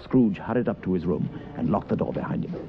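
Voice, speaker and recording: Low-voiced male storyteller, old radio. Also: expressive, fast talker. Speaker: narrator. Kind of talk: dramatic scripted story narration, old radio. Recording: muffled vintage radio broadcast with music.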